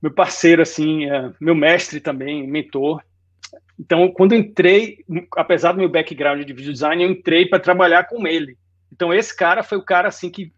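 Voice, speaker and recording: Um homem na casa dos quarenta com sotaque baiano misturado com carioca, contando sua trajetória numa conversa descontraída, com muitos "né?". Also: male voice, a little high, dull audio. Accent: Bahian Portuguese mixed with Rio. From Salvador, Bahia, Brazil. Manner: relaxed storytelling, frequent "né?". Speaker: guest designer. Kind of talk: casual interview chat.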